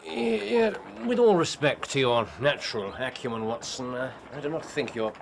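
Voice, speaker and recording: A man's voice: mid-range voice, expressive, moderate pace, vocal fry, background noise. A man playing a keen, confident detective in a period radio drama, with theatrical, formal old-fashioned phrasing. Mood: brisk, assured.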